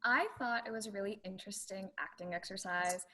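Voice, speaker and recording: A woman's voice: medium pitch, steady tone, brisk, sharp tone. A woman student sharing her thoughts in class, rambling with plenty of "like"s. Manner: rambling, many "like"s. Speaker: student in arts administration.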